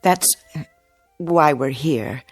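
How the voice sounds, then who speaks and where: An actress playing a polite, flustered mother in a radio drama, deferential and hesitant, gently chiding. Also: low-pitched, takes it slowly, dry recording, faint music behind. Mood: worried. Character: polite, flustered, apologetic mother of a grown daughter.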